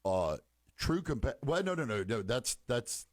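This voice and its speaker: A man from Louisiana, co-hosting a morning radio show, rambling and joking in casual banter with a low voice. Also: sing-song, measured pace. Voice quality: low-register voice. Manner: rambling, joking, self-interrupting. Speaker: radio show co-host and wedding singer. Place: radio studio.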